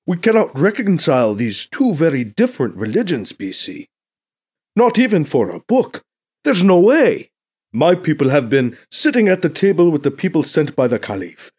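A cautious, exasperated church negotiator in an audiobook, acted by a male narrator. He is earnest and measured in his arguments, with pitch that lifts and falls expressively. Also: big pitch swings, darkish tone, husky.

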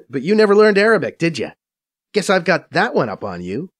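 A man's voice: on the high side, moderate pace, pitched above the performer's own voice. A man voicing a brash, profane female undercover agent who teases and swears freely, in an audiobook. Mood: wry. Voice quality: raised, lively, cocky voice. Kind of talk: audiobook character voice.

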